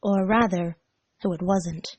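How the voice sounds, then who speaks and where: A woman reading a scripted story aloud as narrator, measured and expressive. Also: fairly low pitch, dry recording. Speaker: fan fiction narrator.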